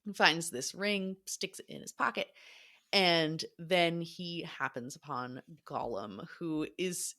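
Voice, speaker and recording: A woman's voice: on the low side, slow pace, sharp tone. A woman co-hosting a podcast, retelling a story in an informal, emphatic way during casual book discussion. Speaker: podcast co-host.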